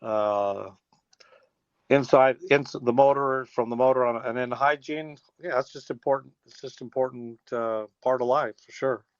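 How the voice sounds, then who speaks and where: A man's voice: mid-range voice, steady tone, moderate pace, no echo. An American man in his sixties chatting casually as an interview guest, folksy and rambling, with trailing restarts. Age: 60s. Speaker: interview guest, teacher and former air traffic controller. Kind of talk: casual phone interview, reminiscing. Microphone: telephone. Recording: dull, lo-fi phone line.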